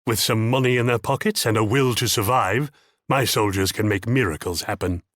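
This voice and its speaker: An actor playing a haughty, shrewd Dutch military commander in a strategy game, pompous, theatrical and patronizing. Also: male voice, fairly low pitch, moderate pace, fairly bright tone, no echo, studio quality. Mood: smug triumph. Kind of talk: scripted strategy game character lines.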